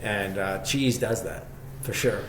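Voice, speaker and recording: A man's voice: fairly low pitch, unhurried. A man speaking as an interview guest, telling his story conversationally in a reflective, enthusiastic way. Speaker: podcast guest, shop co-founder. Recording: echoey room, faint background noise.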